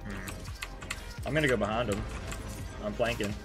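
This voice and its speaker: A man's voice: on the low side, a little slow, over music. A young man, a gaming streamer, giving casual, sweary, slangy commentary as he plays. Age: young adult. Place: indoors at a computer.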